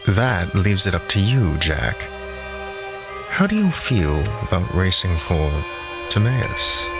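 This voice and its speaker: A man voicing a cold, powerful young racing manager in a sci-fi audio drama, measured and authoritative. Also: sits low, very animated, music in the background, full character voice. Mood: coolly probing.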